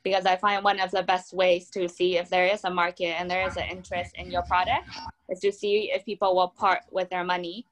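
A young woman from Taiwan speaks on a video call with a fairly low voice. She explains her product in an upbeat way, like a founder on a panel. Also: level tone, a little fast, a little hiss or hum, low-quality recording. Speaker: drink brand founder. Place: home room.

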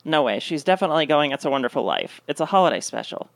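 A woman playing a jaded, cutting girlfriend with deadpan sarcasm in a comedic radio play. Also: sits low, quick pace.

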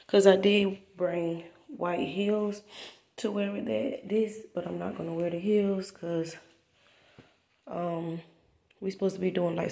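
Woman in her 20s, laid-back slangy vlog chat, gravelly fry, unhurried pace, phone mic. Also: on the low side, darkish tone. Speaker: vlogger. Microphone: handheld phone camera.

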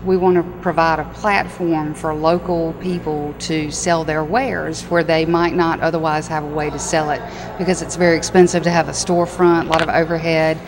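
Brisk woman shop owner, lowish voice. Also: level tone, noisy background. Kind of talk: casual chat.

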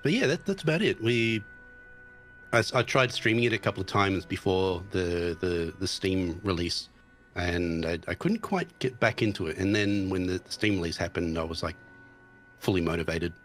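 A man with a very deep voice talks through his game in a loose, halting way, full of ums and restarts. He sounds a little thick, still recovering from tonsillitis. Faint music plays underneath. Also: animated, fairly bright tone. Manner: hesitant, many restarts and ums. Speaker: guest streamer. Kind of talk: casual chat about a game.

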